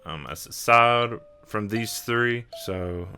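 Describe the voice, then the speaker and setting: Man playing an earnest, bright-voiced creature team leader. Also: on the low side, takes it slowly, slight background noise. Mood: businesslike. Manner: hesitant but instructing.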